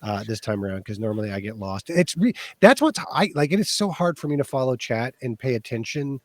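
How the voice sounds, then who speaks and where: A raspy-voiced man hosts a casual stream chat in an easygoing, halting way, full of fillers. His pitch is ordinary and mid-range. The sound is dry, with little room echo. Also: big pitch swings. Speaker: host.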